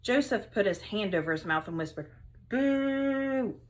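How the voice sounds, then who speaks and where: A woman teacher reading a children's story aloud, expressive and with dramatic emphasis. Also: lowish voice, a little fast.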